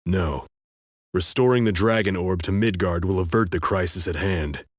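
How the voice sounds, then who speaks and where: An actor voicing a grave, commanding man in a fantasy game, terse and formal, with a husky voice. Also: sits low, moderate pace, no room sound, studio quality. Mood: grave, resolute.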